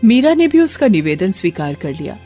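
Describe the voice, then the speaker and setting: Woman storyteller, lively swooping intonation, medium pitch, dramatic scripted narration, soft music behind. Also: a little fast.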